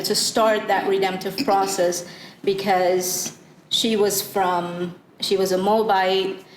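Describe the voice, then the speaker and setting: A woman from Guyana, a church panelist, reflecting on Bible stories in a halting, thoughtful way. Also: medium pitch. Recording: echoey room with faint noise.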